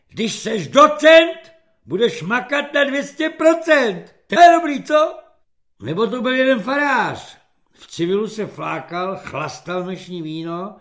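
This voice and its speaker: A man reading aloud voices a loud, boastful army lance corporal who bullies recruits. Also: high voice. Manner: shouting, boastful, crude.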